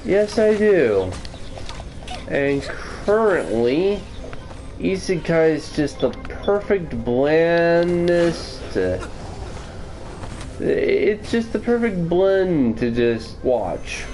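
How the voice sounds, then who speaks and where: A man's voice: fairly high pitch, very animated, extremely slow, a little mellow, soft background music, poor audio. A man streaming casually, answering viewers with wordy, formal phrasing. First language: English. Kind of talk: casual livestream chat answering viewer questions. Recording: noticeable background noise.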